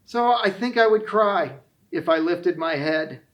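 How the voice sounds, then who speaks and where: A man's voice: high-pitched, animated, a little slow, dull audio. Man acting out a kindly old grandfather, former shepherd, mournful, tender, rhyming storybook tale. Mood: earnest, reminiscing. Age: older.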